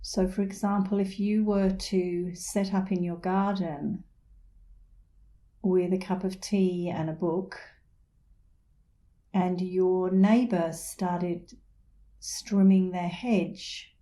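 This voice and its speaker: Slow, mellow woman guiding meditation. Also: medium pitch, steady tone. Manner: soothing, unhurried, gentle pauses. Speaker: meditation instructor. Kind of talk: guided meditation.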